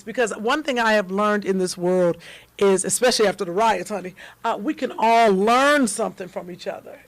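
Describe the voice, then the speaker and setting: A middle-aged American woman with a deep voice, a comedian guest on a talk show who jokes loudly and breaks into animated outbursts. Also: no echo. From United States. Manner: loud, joking, animated with outbursts. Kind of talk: casual talk show chat.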